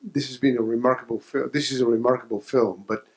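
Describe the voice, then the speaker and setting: A man's voice: mid-range voice, fairly even intonation, fast talker. A man in the audience asking the panel a question, polite and a little hesitant.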